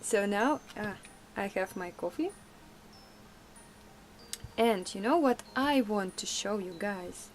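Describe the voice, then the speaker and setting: A young woman whose first language is Russian, chatting casually in a vlog, laughing often and pausing with fillers. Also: medium pitch, expressive, soft background music. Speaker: vlogger.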